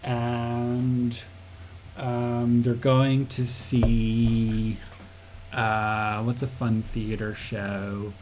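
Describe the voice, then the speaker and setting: A man's voice: mid-range voice, flat delivery, very slow, darkish tone, slight background noise. A young man, a game developer, gives a casual livestream tutorial, thinking aloud with fillers, laughing often and answering chat. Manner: chatty, giggly, thinks aloud with many fillers.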